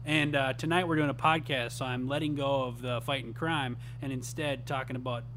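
A man from Minnesota with a Minnesotan accent, co-hosting a podcast in a rambling, hesitant way with deadpan jokes, sounding tired. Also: mid-range voice, brisk, noisy background. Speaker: podcast co-host. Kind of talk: casual chat.

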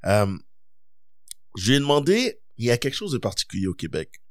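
A man's voice: medium pitch, a little fast, no echo. Quebec guy telling a story on a podcast, voice goes all over, acts out convos, dry room. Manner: expressive storyteller, acts out dialogue. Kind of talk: casual discussion, storytelling.

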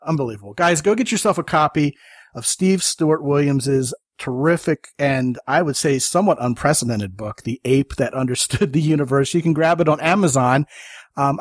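A man's voice: medium pitch, dry recording, studio quality. An American man hosting a podcast, talking in a chatty, enthusiastic way. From United States (Maryland). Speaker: podcast host. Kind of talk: casual conversation and show sign-off.

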